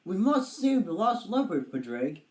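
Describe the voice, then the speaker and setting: Storybook boy adventurer: earnest, gentlemanly, pompous, animated delivery with some room echo, acted by a narrator. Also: medium pitch, a little slow. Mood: resolute. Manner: pompous, proper.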